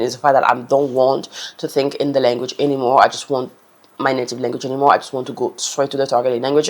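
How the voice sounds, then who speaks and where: Chatty woman vlogger. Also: extremely low pitch. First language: French. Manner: chatty and earnest, loose non-native phrasing. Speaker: language-learning vlogger. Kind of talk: casual advice talk to camera.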